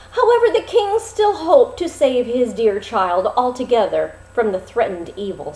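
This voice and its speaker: Woman storyteller, fairly high voice, very lively intonation, deliberate dramatic phrasing, fairy-tale narration, echoey room. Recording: roomy, echoing sound.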